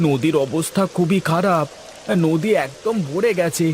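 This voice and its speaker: Cartoon casting: anxious village husband played by a man, highish voice, practical and insistent, faint rain behind. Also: animated, a little slow, a little mellow, slight background noise. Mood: worried, warning. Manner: urgent, insistent. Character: cautious, practical male villager, a worried husband. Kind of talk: cartoon dialogue.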